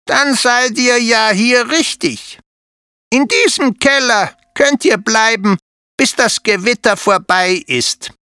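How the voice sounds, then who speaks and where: A narrator putting on a raspy mother spider. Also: medium pitch, takes it slowly, sharp tone, dry recording, clean studio sound, pitched above the performer's own voice. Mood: proud.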